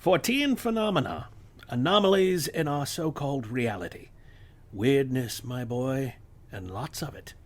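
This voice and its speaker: A man's voice: mid-range voice, lively intonation, measured pace, lightly acted. An actor playing a distracted, dry-witted male astronomy professor in a radio-play style script reading. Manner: dry, teasing, offhand.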